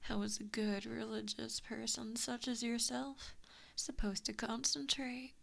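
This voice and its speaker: Slow whispering evil fox giantess, played by a woman. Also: a little high, level tone, fairly bright tone, full character voice. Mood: teasing, coaxing. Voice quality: whispery, breathy. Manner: slow, taunting, mock-sweet. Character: evil, possessive giant kitsune fox-woman, teasing and cruel. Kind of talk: ASMR roleplay. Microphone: close binaural microphone.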